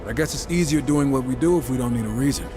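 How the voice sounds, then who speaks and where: A man's voice: mid-range voice, very fast, music in the background, natural voice. An actor playing a cocky, irreverent young horseman in a video game, casual, teasing and sarcastic. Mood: needling. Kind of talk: video game cutscene acting.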